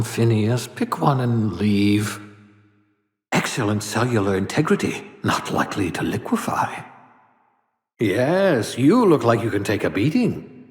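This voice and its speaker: An actor playing an eccentric older fugitive scientist in a video game, wry and condescending, muttering theatrically to himself. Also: male voice, medium pitch, echoey room, in a large hall. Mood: bored, disdainful, then clinical, wry. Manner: muttering to himself, dryly sardonic, swooping emphasis.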